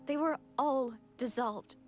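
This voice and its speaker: A woman's voice: sits high, slow pace, faint music behind. An actress playing an earnest, compassionate young woman leader shaken by disaster, speaking solemnly and with composure in a video game. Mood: grave.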